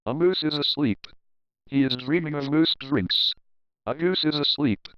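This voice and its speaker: A man's voice: a little high, measured pace, clean tone, no echo, lo-fi sound. A man narrating a children's picture book as a readalong, reading rhyming verse expressively and rhythmically. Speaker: storybook narrator.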